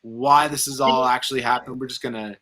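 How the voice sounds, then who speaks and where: A man's voice: medium pitch, fairly even intonation, quick pace, pushed and bright. A man talking casually about movies, opinionated and rambling, with some swearing. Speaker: film review show panelist.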